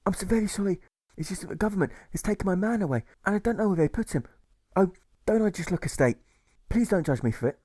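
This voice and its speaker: A man's voice: high-pitched, lively intonation, quick pace, mellow, no room sound, voice pushed up. A man voicing an emotional, pleading, apologetic woman in an audiobook story. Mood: sobbing, apologetic. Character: tearful, apologetic young woman missing her man, overjoyed.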